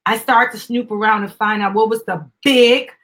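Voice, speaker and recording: Woman, slightly rough-edged voice, upbeat host reading a children's book aloud with casual asides and prompts for a little one. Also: fairly high pitch, steady tone, moderate pace, a bit bright. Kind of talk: live dramatic storybook reading with chatty asides.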